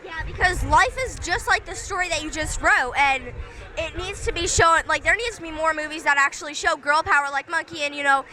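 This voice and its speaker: A woman's voice: sits high, a little fast, bright, forceful tone, soft background music, a faint crowd. A teenage girl interviewing filmmakers as a kid film critic, enthusiastic and full of gushing praise. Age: teens. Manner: enthusiastic, gushing, filler-heavy. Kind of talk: red-carpet interview.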